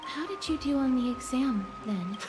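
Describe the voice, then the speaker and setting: A woman voicing a gentle, reassuring young noblewoman in a video game, polite, soft-spoken and composed. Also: high voice, animated, moderate pace, music in the background.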